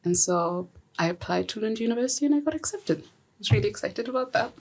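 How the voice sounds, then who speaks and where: A woman's voice: fairly high pitch, animated, fast talker, creaky, a little mellow, husky. Zambian-born woman hosting a podcast. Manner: friendly, laughs often. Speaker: podcast host. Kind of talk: casual podcast conversation.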